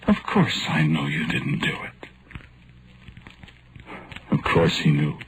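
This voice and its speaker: A low-pitched, haunted radio narrator. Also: male voice, a little hiss or hum, poor audio. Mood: troubled, bitter. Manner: dramatic, poetic, deliberate. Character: haunted, wrongly condemned man narrating his ghostly journey. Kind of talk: 1940s radio horror acting. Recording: vintage radio recording with loud music.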